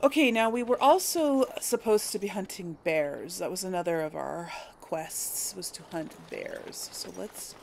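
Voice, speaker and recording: A woman's voice: on the low side, measured pace, vocal fry, few pauses, a little mellow, slight background noise. A woman giving casual let's play commentary, chatting to viewers and musing aloud as she goes. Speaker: gaming video host. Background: video game ambience and effects.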